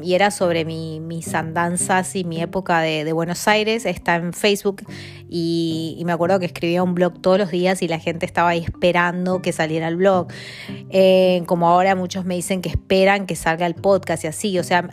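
A woman from Mendoza, Argentina, in her thirties, hosting a casual podcast monologue with an Argentine accent, chatting with lots of fillers and tag questions. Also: fairly low pitch. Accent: Argentine Spanish. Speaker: podcast host.

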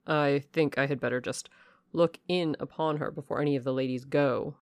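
A woman reading the lines of a self-assured male army officer, calm and decisive. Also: extremely low pitch, normal speed, dry recording, clean studio sound.

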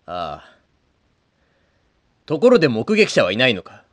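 A man playing a blunt, rough-spoken middle-aged adventurer in a comedic audio drama. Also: mid-range voice, wildly swooping pitch, a little slow, a bit bright, no echo. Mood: puzzled.

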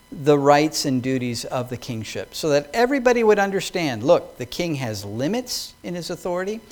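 A man's voice: fairly high pitch, darkish tone. A man preaching a sermon to his congregation, building emphasis as he reads scripture aloud. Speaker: pastor. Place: church hall with congregation.

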